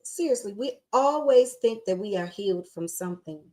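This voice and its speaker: A woman's voice: medium pitch, animated, moderate pace, a little mellow. Scratchy-voiced sassy woman host. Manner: sassy, emphatic, preachy with slang. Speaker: podcast host. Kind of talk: casual motivational chat.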